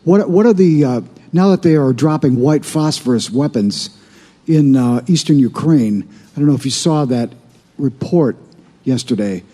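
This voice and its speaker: Halting man asking from the floor. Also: medium pitch, expressive, dark tone. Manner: halting, many filler pauses. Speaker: audience questioner. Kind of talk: question from the floor. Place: conference hall. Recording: reverberant hall.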